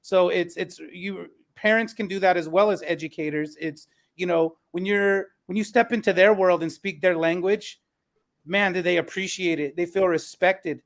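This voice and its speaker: A man's voice: high voice, steady tone, moderate pace. A man hosting a panel discussion and talking in a conversational style as an educator.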